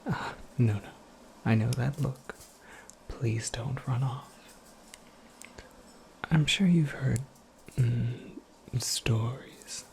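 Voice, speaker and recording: A performer voicing an eccentric, absent-minded witch in a breathy, creaky ASMR roleplay, rambling with wry, cryptic politeness. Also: mid-range voice, very slow, long pauses, a little mellow, slight background noise. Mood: gentle, coaxing, then wry, reassuring. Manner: rambling, whimsical, politely formal, self-correcting. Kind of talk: soft-spoken ASMR roleplay monologue.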